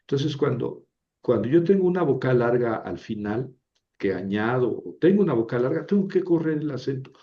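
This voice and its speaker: A man's voice: medium pitch, lively intonation, normal speed. A man teaching a language lesson, explaining patiently and often checking with "¿verdad?". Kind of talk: lecture. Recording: dull lo-fi recording.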